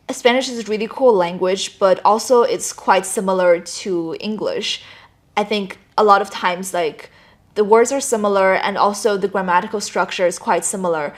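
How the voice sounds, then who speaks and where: A young woman from China, Chinese her first language, answering interview questions in a relaxed, thoughtful way with frequent fillers. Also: mid-range voice. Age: young adult. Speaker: student guest.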